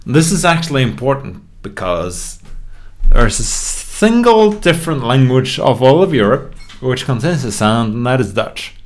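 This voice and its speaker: A man's voice: mid-range voice, sing-song, unhurried. A man from Norway's west coast, chatting casually on a live stream, swearing a lot and losing his thread often. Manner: profane, easily sidetracked. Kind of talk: casual rambling stream chat.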